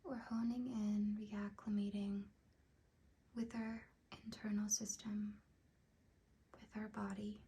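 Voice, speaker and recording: Female meditation guide, ASMR style: hushed, very breathy delivery, even and gentle, unhurried. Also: medium pitch. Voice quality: breathy, whispery. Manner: soothing, gentle, unhurried with long pauses.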